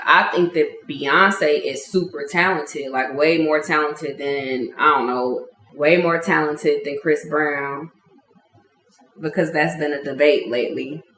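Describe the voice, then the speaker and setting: A woman from the Chicago suburbs chats as a podcast guest. She is animated and bubbly and laughs often. The room gives her voice a clear echo. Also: deep voice, slight background noise. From Chicago South Suburbs. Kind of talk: casual chat.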